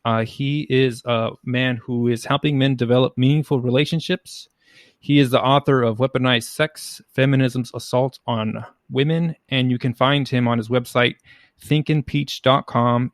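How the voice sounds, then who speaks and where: Flat-toned podcast host. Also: male voice, medium pitch, no room sound, studio quality. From United States. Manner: halting, searching for words, many restarts. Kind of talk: conversational interview hosting.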